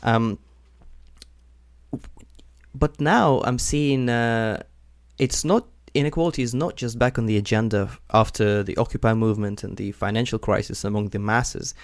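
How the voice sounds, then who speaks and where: A man's voice: mid-range voice, fairly even intonation, normal speed, dry recording, clean studio sound. A young man from Ukraine hosting a podcast interview, curious and chatty, with many fillers. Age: young adult. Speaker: podcast host. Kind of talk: conversational interview.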